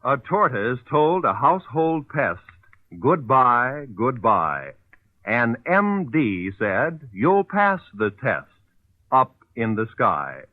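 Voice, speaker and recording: Animated American old-time radio announcer man. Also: mid-range voice, slow, no echo. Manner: polished, formal. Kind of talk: 1940s radio announcing, scripted. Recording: muffled vintage radio broadcast, dry.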